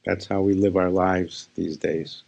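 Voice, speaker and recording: A man with a very low voice and a gravelly creak leads a guided meditation. He talks as a spiritual teacher, laying out short phrases without hurry and pausing between them. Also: little pitch movement, a little mellow. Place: live session.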